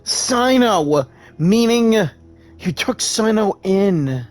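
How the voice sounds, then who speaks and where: Young game companion, high voice, inquisitive, outspoken, blunt, faint music behind. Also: male voice, lively intonation, extremely slow, exaggerated voice. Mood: surprised. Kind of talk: scripted video game dialogue.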